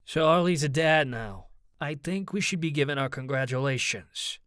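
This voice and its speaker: A man's voice: a little high, moderate pace, a bit bright, smooth, no room sound, studio quality, natural voice. A man voicing a young tough guy in an audiobook, a supportive and teasing best friend. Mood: protective, teasing.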